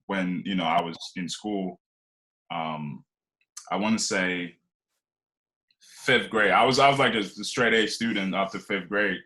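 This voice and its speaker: Very deep-voiced young man from Liberia. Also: measured pace, pauses often, pushed and bright, poor audio. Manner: loose, rambling, many fillers and restarts. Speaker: podcast guest. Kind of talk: casual conversational storytelling.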